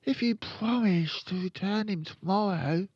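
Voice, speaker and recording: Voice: mid-range voice, unhurried, a little mellow, slightly rough, no room sound, highs cut off, voice pushed up. A narrator voicing a stubborn, boastful six-year-old boy in a storybook. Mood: firm.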